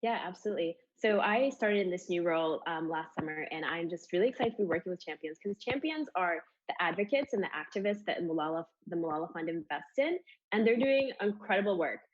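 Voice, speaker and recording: A woman's voice: on the low side, lively intonation, fast talker, no room sound. A woman from Rwanda giving enthusiastic, informal interview answers about her charity work, on lo-fi audio. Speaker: charity communications manager, interview guest.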